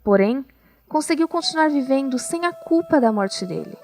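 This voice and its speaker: A fairly high-voiced woman with a Brazilian accent narrates an audio drama. She reads as a scripted storyteller, with faint music behind her. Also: animated, normal speed, darkish tone.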